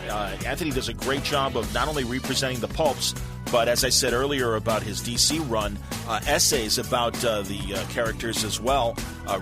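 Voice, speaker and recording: An American man hosting a podcast in a casual, informal way, speaking as an enthusiastic fan. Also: medium pitch. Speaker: podcast host, radio broadcaster. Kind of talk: casual interview and introduction.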